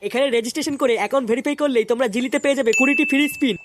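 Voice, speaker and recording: Very fast high-pitched woman reading an ad. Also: fairly even intonation, background noise. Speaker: promoter reading a sponsor ad. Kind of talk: scripted advertising read.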